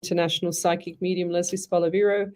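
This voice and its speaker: A woman's voice: on the low side, flat delivery, quick pace, a little mellow. Chatty Australian woman radio host. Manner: chatty, rambling, self-interrupting. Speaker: radio host and psychic medium. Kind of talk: live radio call-in show.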